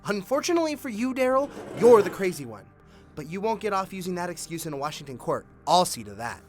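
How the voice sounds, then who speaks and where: A man's voice: sits high, big pitch swings, fast talker, faint music behind. Man acting a hard-nosed, accusing detective. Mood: scornful, threatening. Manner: forceful, sneering, profane, insistent. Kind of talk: audio drama acting.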